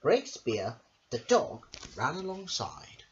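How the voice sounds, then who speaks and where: A woman's voice: deep voice, huge pitch swings, takes it slowly. A woman reading a children's story aloud in a theatrical, emphatic way, sometimes stumbling over words, on a home recording. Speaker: storyteller on own channel. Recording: muffled, hissy home recording.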